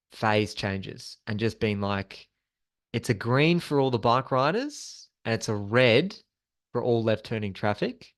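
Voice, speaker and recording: A man presenting a slide-based training session as an instructor, explaining things in an informal, conversational way. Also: lowish voice.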